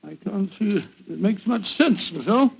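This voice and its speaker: A bluff older English gentleman doctor in an old radio mystery. His voice sits high with a creaky edge, and he blusters and stammers in fussy fashion. The recording is dull and vintage. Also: lively intonation, unhurried, exaggerated voice. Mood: puzzled. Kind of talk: 1940s radio acting.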